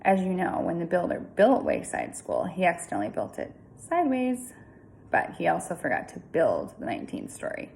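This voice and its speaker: A woman reading a children's story aloud in an informal, chatty, expressive way with casual asides. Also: on the low side, moderate pace, gravelly, slight background noise, poor audio.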